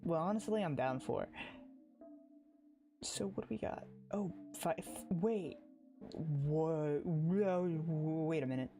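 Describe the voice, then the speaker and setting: A game streamer chatting casually on a livestream, informal and excitable, rambling along into a close microphone. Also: medium pitch, slow, pauses often. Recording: music under the voice. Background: music.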